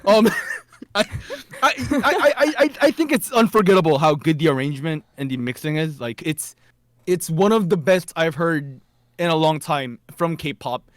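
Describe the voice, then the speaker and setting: A man whose first language is Korean, co-hosting a casual podcast chat, animated and often stumbling over his words. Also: fairly high pitch, moderate pace. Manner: animated, stammers and repeats words. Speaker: podcast co-host.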